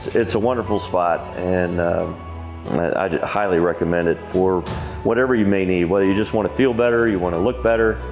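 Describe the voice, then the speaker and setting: A man offers a casual testimonial in a very low, creaky voice. Music plays behind his unscripted endorsement. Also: a little fast, darkish tone. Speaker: testimonial speaker in an ad.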